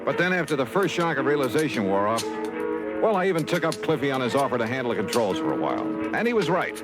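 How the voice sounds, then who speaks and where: An old radio recording with loud music carries a breezy American male investigator. His mid-pitched voice swings expressively through wry, colloquial lines in classic detective radio drama style. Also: very animated, fast talker. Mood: wry narrating. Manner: colloquial, wry, clipped first-person narration.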